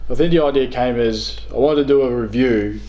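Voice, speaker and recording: A man's voice: mid-range voice, brisk, mellow, dull audio. A man in his thirties with an Australian accent, chatting casually as an interview guest. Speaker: guest, game reviewer. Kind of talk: casual chat about video games. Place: small living room, on a couch.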